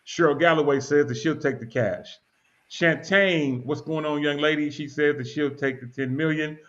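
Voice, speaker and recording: A man's voice: on the high side, fairly even intonation, moderate pace, fairly bright tone. A man hosting a casual live talk show, easygoing and chatty, reading out viewer comments and often stumbling with "uh" and repeated words. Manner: easygoing, repeats himself and fills with "uh". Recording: dull lo-fi audio.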